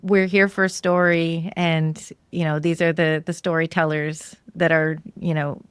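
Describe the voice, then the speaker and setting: Low-pitched woman hosting a casual podcast. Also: level tone, unhurried, clean tone, dry recording. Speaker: podcast host. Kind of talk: casual interview chat.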